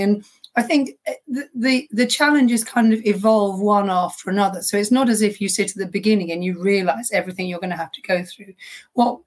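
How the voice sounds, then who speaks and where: Slightly husky woman, middle pitch, earnest founder giving a reflective interview answer. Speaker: small business co-founder.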